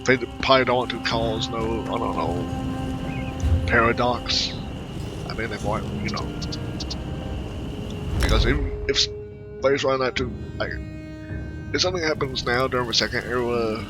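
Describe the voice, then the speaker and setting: A man giving casual, halting video game commentary, rambling as he thinks aloud. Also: mid-range voice. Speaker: gamer narrating a playthrough. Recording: voice over prominent game music. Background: game music.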